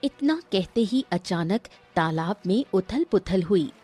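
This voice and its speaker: Female storyteller, scripted storybook narration, unhurried, soft dark tone, faint music underneath. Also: mid-range voice, lively intonation, husky.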